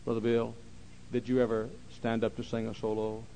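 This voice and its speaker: A man preaching a sermon, repeating phrases and swinging from quiet, slow talk to loud shouting. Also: medium pitch, completely flat delivery, slight background noise, low-quality recording.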